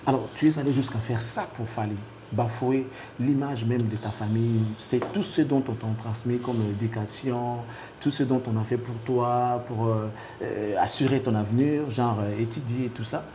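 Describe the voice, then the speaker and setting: A man's voice: medium pitch, fairly even intonation, normal speed, background noise. A man hosting an interview, putting probing questions to his guest. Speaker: interviewer, host.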